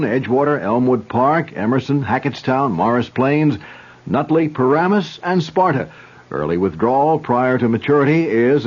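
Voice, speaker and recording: A man's voice: medium pitch, husky, dull audio. A man reading a 1970s radio advertisement in a salesy way. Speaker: commercial announcer.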